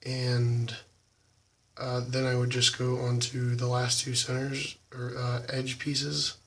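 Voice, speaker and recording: A slightly hoarse young man casually talking through how he solves a puzzle cube, halting with lots of ums. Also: mid-range voice, completely flat delivery, far from the mic. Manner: halting, many ums, soft and quiet. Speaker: YouTube cubing channel host. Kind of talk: casual tutorial walkthrough.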